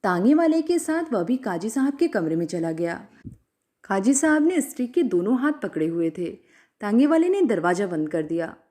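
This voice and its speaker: A woman reading a story aloud as an expressive scripted narration. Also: mid-range voice, moderate pace.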